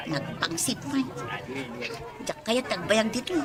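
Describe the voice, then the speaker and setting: A woman's voice: mid-range voice, expressive, measured pace, lightly acted. An actress with an Ilocano accent playing a peevish, disdainful young woman in a radio drama. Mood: exasperated. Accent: Ilocano, northern Philippines. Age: young adult. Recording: busy crowd noise behind. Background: crowd sounds.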